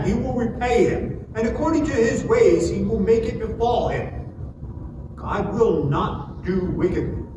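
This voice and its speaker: A man's voice: high voice, far from the mic. A man playing an angry, righteous accuser in a dramatic scripture reading, declaiming with rhetorical emphasis. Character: angry, righteous man rebuking the sufferer Job. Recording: echoey hall from afar, noisy.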